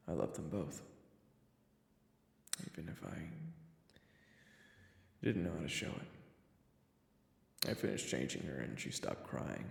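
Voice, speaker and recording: A very low male voice full of gravel, an actor's take on a weary, brooding father narrating a drama. He speaks haltingly and confessionally, in an echoey, reverberant space. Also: exaggerated voice. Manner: halting, hesitant confessional delivery. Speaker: narrator and lead character. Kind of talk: first-person dramatic narration.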